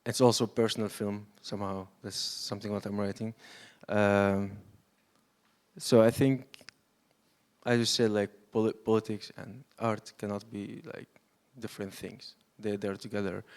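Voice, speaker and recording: A filmmaker from Syria gives an unscripted answer on a panel. His voice is slightly low and quite flat in pitch. He speaks haltingly, searching for the next word. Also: smooth.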